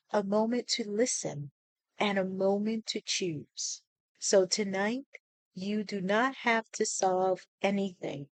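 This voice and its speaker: Slow-paced woman guiding a meditation. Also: mid-range voice, dry recording, poor audio. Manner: soothing, deliberate, with pauses between short phrases. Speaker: guided meditation leader. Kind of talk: guided meditation.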